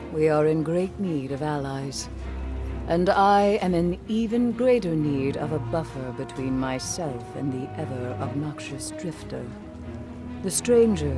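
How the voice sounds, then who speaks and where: Game mystic: low, measured, formal, dryly sardonic and world-weary, music underneath. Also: female voice.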